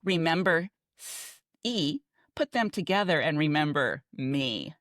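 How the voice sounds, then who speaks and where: An older American woman with a low voice and very lively intonation, recorded close and dry. She co-hosts a podcast, telling memories in an emphatic, conversational way. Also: unhurried, dry recording. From Texas, United States. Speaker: podcast co-host. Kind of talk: casual chat and reminiscing.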